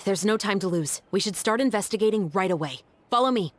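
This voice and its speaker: A woman's voice: mid-range voice, lively intonation, a little fast, faint music behind, close to an everyday voice. A woman playing a composed, dutiful young patrol officer in a video game, speaking formally and earnestly. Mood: resolute.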